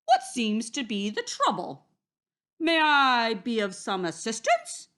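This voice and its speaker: A woman's voice: fairly high pitch, wildly swooping pitch, slow, a bit bright, pitched above the performer's own voice, heavily acted. A woman voicing a blustering, easily hurt male wolf in a children's story, speaking emphatically. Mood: polite. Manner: emphatic, exclaiming, polite or roaring.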